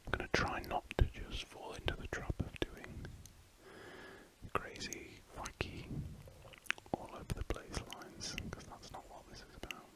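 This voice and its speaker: A man's voice: unhurried, low-quality recording. Whispering man drawing. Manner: soft rambling whisper, relaxed. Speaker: art channel host. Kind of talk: whispered ASMR drawing commentary.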